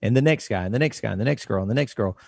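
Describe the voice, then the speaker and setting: A man in his late forties co-hosting a podcast, telling stories in an animated, emphatic way. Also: medium pitch, racing, creaky, dry recording, studio quality. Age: 40s. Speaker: podcast co-host. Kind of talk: casual chat.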